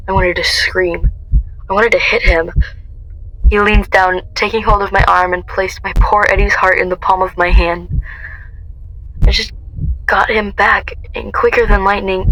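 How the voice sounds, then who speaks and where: A woman's voice: lowish voice, a bit bright, natural voice, rough recording. Woman acting a tense, haunted young heroine, music behind. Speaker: lead actor and narrator in an amateur radio drama. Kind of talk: first-person dramatic narration and radio drama acting.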